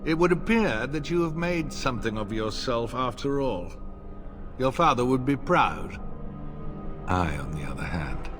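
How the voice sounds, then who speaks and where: An actor playing a cruel, bitter noble villain in a video game, mocking, theatrical and deliberate. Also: male voice, mid-range voice, expressive, music in the background, lightly acted. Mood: bitter, menacing. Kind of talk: video game cutscene acting.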